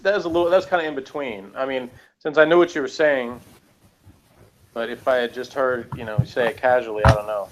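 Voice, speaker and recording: A man chatting casually as a podcast reviewer, explaining things in a halting, rambling way and often correcting himself. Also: mid-range voice, steady tone, a little hiss or hum, poor audio.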